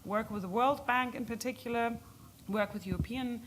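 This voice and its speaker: A woman giving halting, explanatory answers as an expert speaker in an unscripted question session at a policy event. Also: fairly high pitch, fairly bright tone, slight background noise, poor audio.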